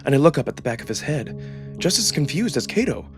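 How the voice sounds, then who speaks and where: A man narrating a first-person story in a theatrical, expressive, scripted style. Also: on the high side, fast, music in the background. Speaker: narrator and voice actor.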